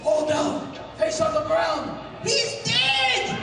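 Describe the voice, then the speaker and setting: Casting: male actor for fierce radio-serial tribesman, high-pitched, halting and accusing, distant and very reverberant. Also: sing-song, vocal fry, shouting, crowd noise, heavily acted. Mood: triumphant. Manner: halting, slow broken English. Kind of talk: 1930s pulp radio drama acting. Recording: very echoey distant hall.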